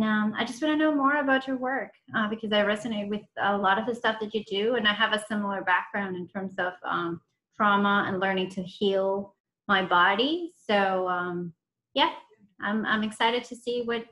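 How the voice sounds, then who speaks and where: A woman with a Venezuelan accent and a bright, clear-cut tone. Her first language is Spanish, and she introduces herself casually on an online call at a middle pitch. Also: steady tone, normal speed, low-quality recording. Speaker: workshop participant.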